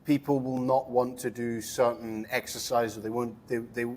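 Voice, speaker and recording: A man's voice: medium pitch, level tone, moderate pace, darkish tone, slight background noise. Male interview host; informal, filler-heavy, rambling questions. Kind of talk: casual podcast interview.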